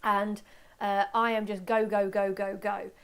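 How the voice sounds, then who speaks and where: Female webinar presenter, medium pitch, informal and talkative, excitable and self-deprecating, speaking live to learners. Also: a little slow, smooth. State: voice slightly going from talking. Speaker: photography workshop presenter. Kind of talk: informal live webinar talk with audience questions.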